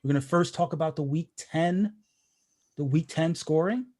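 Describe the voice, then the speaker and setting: A man's voice: fairly high pitch, normal speed, warm tone. A man hosting a casual podcast, joking around and rambling through many restarts. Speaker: podcast host. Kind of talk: casual chat.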